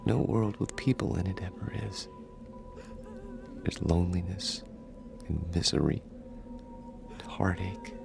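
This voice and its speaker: A lonely, childlike man in a radio drama. He speaks slowly and tenderly in a breathy half-whisper, with clear music behind him. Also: sits low, full character voice. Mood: tender, wistful. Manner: tender, halting, confiding. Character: lonely, gentle, childlike grown man in love. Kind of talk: old-time radio drama acting.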